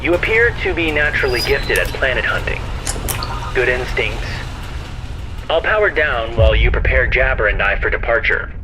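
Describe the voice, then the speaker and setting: An actor playing a literal-minded robot co-pilot in a sci-fi audio drama, earnest and fussy, with stiff, precise wording. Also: male voice, mid-range voice, lively intonation, normal speed, background noise, close to an everyday voice. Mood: polite.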